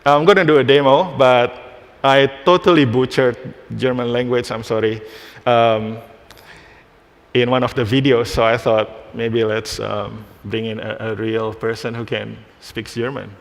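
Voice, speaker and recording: Halting man presenting in a reverberant hall. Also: medium pitch, steady tone, gravelly. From Indonesia. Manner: halting, restarts phrases while demoing. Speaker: conference speaker. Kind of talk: live technical presentation. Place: conference hall stage.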